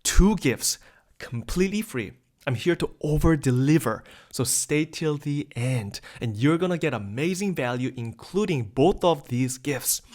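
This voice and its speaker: A man's voice: on the high side, lively intonation, unhurried, darkish tone. Upbeat salesy man from South Korea. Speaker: webinar host. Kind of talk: scripted sales pitch.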